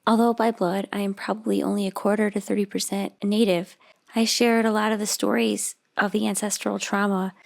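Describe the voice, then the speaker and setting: A woman narrating a vlog in an earnest, steady way, her voice raspy and hoarse from losing it. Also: mid-range voice, fairly quick. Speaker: video host.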